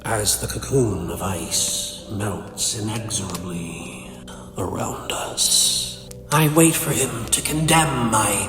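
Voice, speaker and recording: A man's voice: lowish voice, bright, forceful tone. A man playing a cold, bitter, cerebral supervillain in a comic dub, speaking in a theatrical, condescending, verbose manner. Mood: brooding, icy. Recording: echoey hall reverb, faint music behind.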